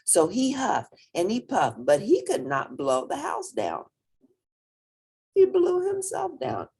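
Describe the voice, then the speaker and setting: A woman reading a children's story aloud expressively, with drawn-out emphasis and playful asides. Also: a little high, huge pitch swings, vocal fry, warm tone, husky.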